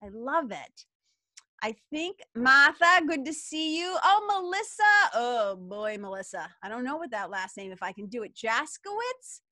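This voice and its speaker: A middle-aged woman with a Boston accent, co-hosting a live webinar and chatting warmly with viewers in the chat. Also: high-pitched, very animated, measured pace, no room sound. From Massachusetts. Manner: bubbly, chatty, enthusiastic. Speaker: webinar co-host.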